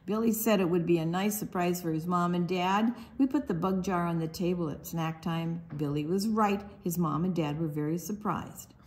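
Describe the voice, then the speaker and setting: A woman reading a children's picture book aloud, expressively and with deliberate dramatic pauses. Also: on the low side, darkish tone, slight background noise. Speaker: storytime book reader.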